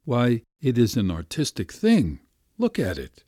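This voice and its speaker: A man's voice: medium pitch, big pitch swings, unhurried, dark tone, no echo, clean studio sound. A man voicing an earnest, insistent young man who pleads effusively, in a raised voice. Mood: earnest pleading.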